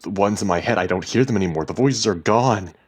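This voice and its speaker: Man voicing a defensive, bewildered husband, raised pitch. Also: quick pace, husky. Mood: unsettled. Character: bewildered, defensive husband who hears voices. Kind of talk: dramatic story reading.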